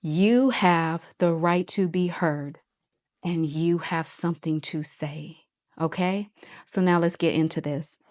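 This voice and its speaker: A woman's voice: deep voice, slow, darkish tone. A woman in her forties talking to viewers in an earnest, reflective way, often going back to repeat a phrase. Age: 40s. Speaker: host. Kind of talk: personal motivational talk.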